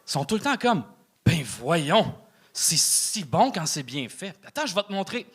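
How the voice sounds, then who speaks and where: A man with a Québécois accent performing a stand-up routine, delivering crude punchlines flatly between filler pauses. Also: a little high, slightly rough. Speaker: stand-up comedian. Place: comedy club stage.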